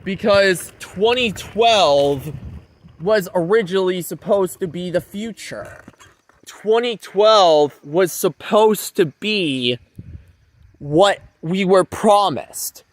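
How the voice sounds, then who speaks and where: Male vlogger, notably high voice, rambling and repetitive, informal address, faint rumbling noise behind him. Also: expressive, takes it slowly. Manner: repetitive, emphatic phrasing. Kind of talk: rambling informal monologue to viewers.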